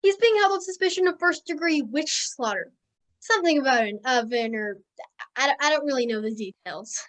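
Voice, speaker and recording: A woman's voice: high voice, animated, moderate pace, a bit bright. A young woman playing a shifty fairytale troublemaker who feigns innocence in a comic stage play. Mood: evasive. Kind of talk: comic stage acting over video call.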